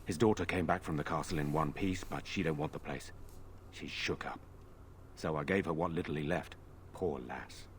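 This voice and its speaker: An actor playing a humble, apologetic village smith in a fantasy game, talking in a plain, rustic way. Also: male voice, extremely low pitch, moderate pace, a little hiss or hum. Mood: apologetic, subdued. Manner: plain, rustic, folksy speech.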